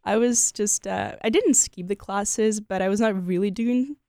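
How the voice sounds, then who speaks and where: A woman's voice: medium pitch, normal speed, warm tone, no room sound, clean studio sound. A young woman from Ukraine with a Ukrainian accent, a speaker of Ukrainian and Russian, chatting casually as an interview guest and filling her talk with "like" and "you know". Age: young adult.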